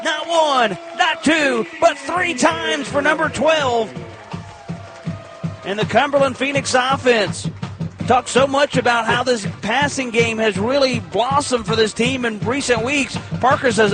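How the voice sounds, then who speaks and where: An American man calling live football play-by-play on the radio in an excitable, emphatic way with clipped phrases. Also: very high-pitched, measured pace, music in the background.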